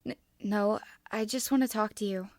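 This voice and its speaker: A woman's voice: medium pitch, fairly even intonation, moderate pace, no echo, clean studio sound, close to an everyday voice. A woman voicing a shy, anxious teenage boy who is hesitant and huffy when flustered, in an anime fan story.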